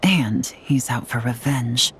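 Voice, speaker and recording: An actress playing a serious, analytical investigator in a video game, measured and thoughtful. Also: female voice, a bass voice, a little hiss or hum. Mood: grave.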